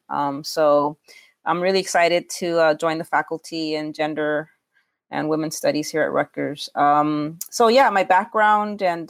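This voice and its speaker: A woman's voice: lowish voice, dry recording, studio quality. A woman professor guest on a podcast, speaking hesitantly with many "um" and "uh" fillers. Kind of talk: casual academic talk.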